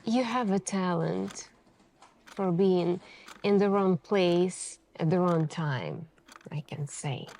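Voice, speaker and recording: Woman acting a very slow, menacing female detective. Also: fairly low pitch, expressive, darkish tone, slight background noise. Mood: accusing, knowing. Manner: deliberate, measured, insinuating, with pointed pauses. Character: suspicious, menacing female police detective interrogating a suspect. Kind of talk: slow ASMR roleplay interrogation.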